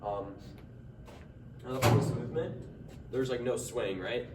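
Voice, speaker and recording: A man's voice: medium pitch, a little slow, heavy background noise. Stuffy young male fitness vlogger, casual delivery, recorded at a distance in a roomy gym. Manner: trips over words, strains between sets. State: stuffy nose. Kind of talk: casual workout narration. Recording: echoey gym from far off.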